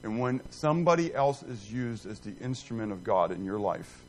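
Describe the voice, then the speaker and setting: A middle-aged man teaching a Bible class in a rambling, self-deprecating, animated way, quizzing and joking with his group. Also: on the low side, moderate pace, slight background noise.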